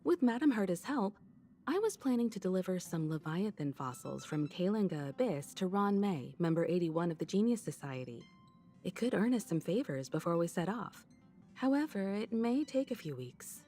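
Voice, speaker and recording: A woman voicing a poised, mature, gently wry leader in a video game. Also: on the low side, sing-song, normal speed, faint music behind. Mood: composed, authoritative.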